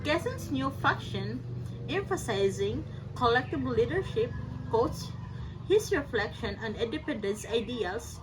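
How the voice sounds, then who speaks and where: A woman's voice: a little high, big pitch swings, measured pace. A woman with a Filipino accent giving a scripted student presentation. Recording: distant mic, clear background noise.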